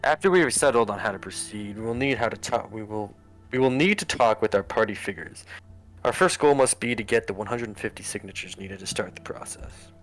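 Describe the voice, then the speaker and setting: A man voicing a formal government adviser who briefs the president in a measured, expository way in a political game. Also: lowish voice, fairly bright tone, natural voice, poor audio. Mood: formal briefing. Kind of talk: scripted political game voice-over. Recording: music under the voice. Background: background music.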